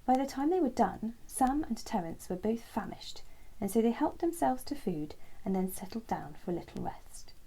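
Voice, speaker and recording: Mellow, breathy woman reading bedtime story. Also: fairly high pitch, big pitch swings, moderate pace, few pauses, low-quality recording. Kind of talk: gentle bedtime story narration, scripted.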